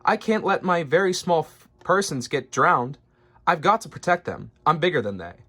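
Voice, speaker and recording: A man voicing an earnest, gentle, protective elephant in a storybook, with a pleading, emphatic manner. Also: a little high, animated, normal speed, slightly rough, voice pushed up, heavily acted. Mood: worried, determined.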